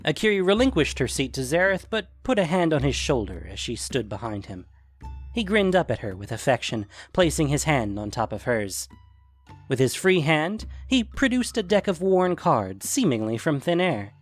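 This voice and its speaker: Animated male fantasy narrator. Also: a little high, moderate pace, faint music behind. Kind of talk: dramatic scripted fantasy narration.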